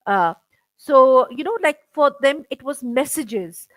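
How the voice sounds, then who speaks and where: A middle-aged woman interviewing over a video call, chatty and halting, filling her talk with "you know" and restarts. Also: high voice, lively intonation, no room sound. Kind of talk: casual conversational interview.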